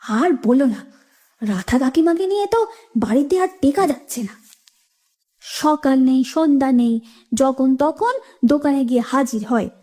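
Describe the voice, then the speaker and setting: A woman voicing a sharp-tongued, quarrelsome young village woman in a melodramatic cartoon, with drawn-out, indignant phrasing. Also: high voice, expressive. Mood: gossipy.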